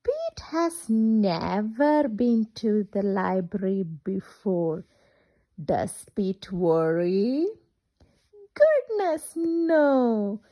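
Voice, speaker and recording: A woman's voice: mid-range voice, lo-fi sound. A woman reading a picture book aloud to children in a singsong, interactive way, asking questions as she goes. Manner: animated, singsong, asks questions and pauses for children. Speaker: children's storyteller.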